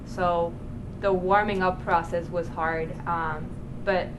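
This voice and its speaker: A woman's voice: on the low side, level tone, takes it slowly, background noise. A woman answering as a student panelist, talking casually with lots of "like" and "um". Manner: casual, many fillers like "like" and "um".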